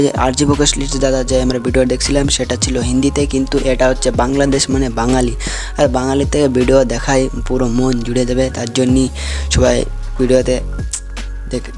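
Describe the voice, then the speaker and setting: Male video host, quick monotone patter, rambling, light music underneath. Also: mid-range voice. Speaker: YouTube reaction channel host. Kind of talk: casual video intro and outro to viewers.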